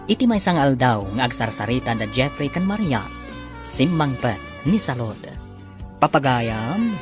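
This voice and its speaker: A woman announcing and narrating a radio drama in a scripted style. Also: a bass voice. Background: music.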